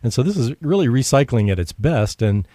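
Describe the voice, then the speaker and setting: A man's voice: mid-range voice, sing-song, brisk, mellow, dry recording, clean studio sound. A man hosting a radio interview show, talking conversationally with frequent fillers. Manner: conversational, many fillers and restarts.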